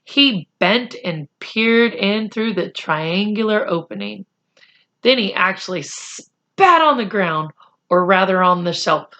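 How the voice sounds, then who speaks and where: Woman, mid-pitched voice, very unhurried, expressive, deliberate, children's read-aloud narration. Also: dull audio. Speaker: children's book reader.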